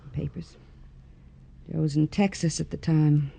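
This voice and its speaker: A woman's voice: deep voice, fairly even intonation, measured pace, creaky, mellow, breathy edge, exaggerated voice. A woman playing a hard-edged, despairing young woman in a 1950s radio crime drama, dramatic and world-weary. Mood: bitter, despairing.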